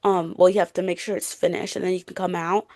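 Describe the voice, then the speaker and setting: A woman's voice: lowish voice, little pitch movement, fast talker, warm tone, dry recording, clean studio sound. A woman chatting casually and emphatically about books as a podcast co-host, in an informal way. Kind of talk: casual book chat.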